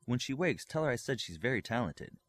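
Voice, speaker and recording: A man's voice: mid-range voice, sing-song, fairly quick. A man voicing a composed, regal, worldly-wise woman in an audiobook. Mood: authoritative.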